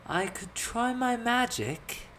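A narrator voicing a young noblewoman in a fantasy story, pompous but unsure of herself, with a drawn-out delivery. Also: mid-range voice, big pitch swings, a little hiss or hum, voice pushed up. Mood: sheepish.

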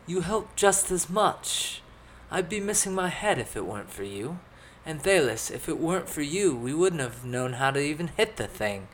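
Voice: mid-range voice, big pitch swings, moderate pace, slight background noise, pitched above the performer's own voice, close to an everyday voice, low-quality recording. A narrator voicing an earnest male adventurer in a fantasy story. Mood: grateful.

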